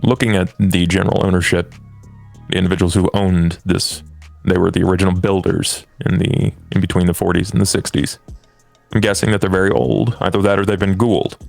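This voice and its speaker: A man playing a formal vampire investigator in a tabletop game, speaking precisely and deliberately. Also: low voice, vocal fry. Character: formal, measured male vampire investigator laying out findings.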